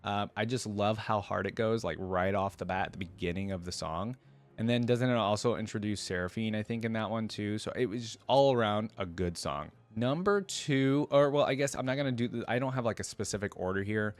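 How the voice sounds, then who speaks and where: A man's voice: on the low side, brisk, soft background music. A man hosting a reaction video, talking informally and thinking aloud, sometimes reading aloud to his viewers.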